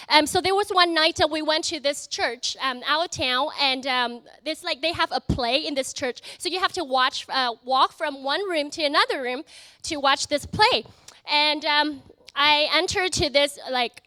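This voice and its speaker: A young woman from Vietnam with a Vietnamese accent, giving an earnest, halting personal testimony to an audience, full of fillers and restarts. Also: high voice, bright, forceful tone, no room sound. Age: young adult. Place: event in front of an audience.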